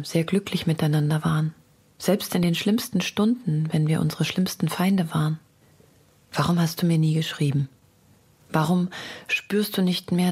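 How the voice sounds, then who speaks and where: A lovesick young woman poet in a radio feature. The voice is very low for a woman and husky with breath. She reads her letters slowly and intimately, torn and hushed. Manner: intimate, measured literary reading.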